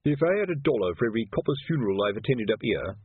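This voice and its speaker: A man voicing a weary, gruff middle-aged male watch sergeant. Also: mid-range voice, sing-song, fast, no room sound, phone-quality sound. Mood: reminiscing.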